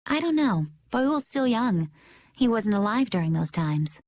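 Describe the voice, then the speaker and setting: Calm, knowing young adventurer. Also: female voice, a little high, measured pace. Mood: pensive. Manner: composed, explanatory. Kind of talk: English game dub acting.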